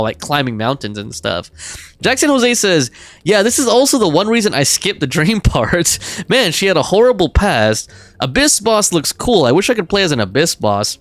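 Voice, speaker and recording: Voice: medium pitch, a bit bright, dry recording. A game streamer giving casual live commentary and chatting with viewers in laid-back, slangy phrasing.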